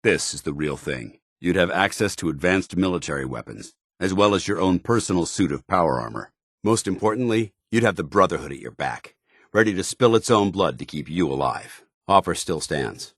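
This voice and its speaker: An actor playing a stern, principled, by-the-book soldier in a video game, with formal, clipped, commanding delivery. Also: male voice, sits low, expressive, moderate pace, fairly bright tone, dry recording, studio quality. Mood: earnest, formal. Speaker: video game companion character.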